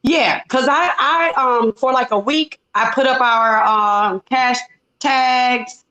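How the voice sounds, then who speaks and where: A woman in her forties from Detroit talks casually as a guest on a video call. Her voice sits a little high, and she restarts her sentences as she goes. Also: slow, bright, forceful tone, highs cut off. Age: 40s. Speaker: singer and guest.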